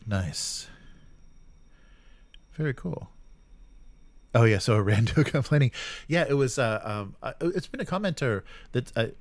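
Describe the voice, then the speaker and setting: A man's voice: medium pitch, expressive, measured pace, pauses often, no echo. A man hosting a casual live stream, rambling and thinking aloud as he reacts to chat comments.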